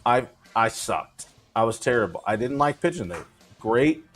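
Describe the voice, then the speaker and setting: A man in his thirties, a former pitcher on a sports panel, talking casually in a blunt, repetitive way. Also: animated, normal speed, fairly bright tone, faint music behind. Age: 30s.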